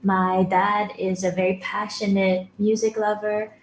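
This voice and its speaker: A woman in her twenties from Brunei, a singer answering interview questions over a video call, polite and soft-spoken. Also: medium pitch, flat delivery, unhurried, reverberant, a little hiss or hum, rough recording. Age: 20s. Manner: polite, soft-spoken, addresses host as sir. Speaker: guest singer. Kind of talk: remote interview, casual.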